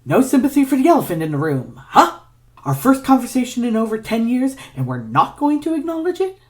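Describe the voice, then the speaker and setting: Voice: medium pitch, huge pitch swings, fairly quick, pitched above the performer's own voice. A performer voicing a sardonic male villain scientist in an audio story, theatrical and taunting, with a dry drawl. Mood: exasperated outburst. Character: sardonic male villain scientist, needling his old lover.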